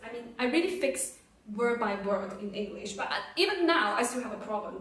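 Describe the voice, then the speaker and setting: A woman's voice: a little high, sing-song, fast talker, fairly bright tone. A young adult woman from China, a native Chinese speaker, co-hosting a casual chat in an upbeat, chatty way, often laughing. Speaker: co-host, language learner. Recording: echoey room, mic at a distance, faint noise.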